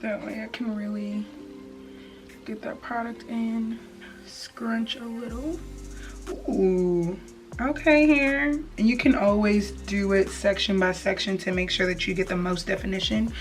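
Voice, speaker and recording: Young woman, medium pitch, casual tutorial chat, playful slangy manner, slightly distant mic, music behind. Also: fairly even intonation, unhurried. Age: young adult. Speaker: beauty vlogger. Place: indoor room, plain wall backdrop.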